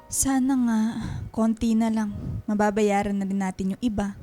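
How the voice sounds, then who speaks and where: A woman's voice: mid-range voice, steady tone, normal speed, warm tone, faint music behind, natural voice. An actress playing a distressed young married woman in a radio drama, tearful and hesitant, repeating her phrases. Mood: subdued, sad.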